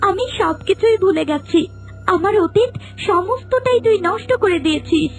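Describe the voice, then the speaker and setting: An extremely high-pitched, venomous serpent maiden, acted by a woman, full of melodramatic menace. She is a scorned wife out for revenge in a cartoon, with music playing behind her. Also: expressive, normal speed. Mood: vengeful, threatening.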